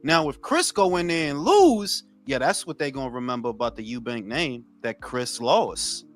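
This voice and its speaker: A man's voice: medium pitch, sing-song, moderate pace, faint music behind. A man hosting a casual boxing talk show, ranting bluntly and profanely. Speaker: podcast host.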